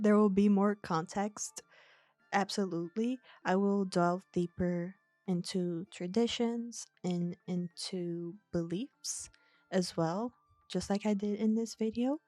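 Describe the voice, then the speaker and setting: A young woman talks in a slow, unhurried way. Her voice is warm and mellow. She sounds measured and self-deprecating, with faint music underneath. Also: mid-range voice, no echo. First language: Portuguese. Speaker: video essayist. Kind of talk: reading a scripted analysis, then chatting.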